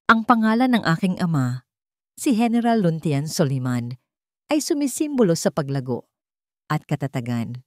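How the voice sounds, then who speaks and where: Woman reader doing a resilient grown daughter from a story, heartfelt n solemn, lowish voice, dry sound. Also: warm tone, no room sound. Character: resilient grown daughter, earnest, tender and grateful.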